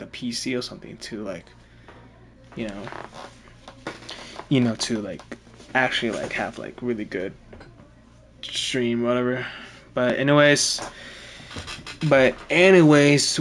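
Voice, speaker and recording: A man's voice: mid-range voice, a little hiss or hum. A man livestreaming a game with casual, rambling, repetitive commentary. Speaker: game streamer.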